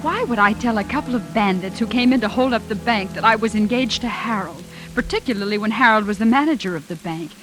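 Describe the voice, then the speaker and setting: A woman's voice: mid-range voice, animated, fast talker, few pauses, close to an everyday voice. A defiant young woman acted by a radio actress in a 1940s crime drama. She is frightened yet stands her ground, and prominent music plays behind her on the vintage recording. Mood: tense recollection. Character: frightened but defiant young woman bank employee, narrating.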